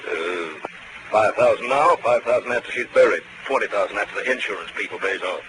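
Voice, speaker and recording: An actor playing a courtly, scheming colonel in a 1940s radio drama, with a Southern US accent and a formal, pompous drawl. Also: male voice, on the low side, expressive, brisk, lightly acted. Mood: sly, bargaining. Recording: noisy vintage 1940s radio recording.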